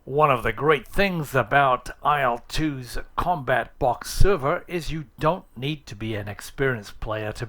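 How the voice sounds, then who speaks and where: A man's voice: mid-range voice, a bit bright. A man narrating a how-to guide in a casual but scripted, plain-spoken and instructive way. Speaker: tutorial presenter.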